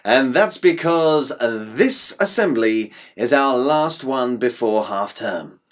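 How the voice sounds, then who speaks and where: A man from the United Kingdom introduces a school storytelling assembly. He speaks to the children in a friendly, explanatory way, his voice sitting at a middle pitch and moving up and down a great deal. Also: animated, slow pace. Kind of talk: addressing schoolchildren.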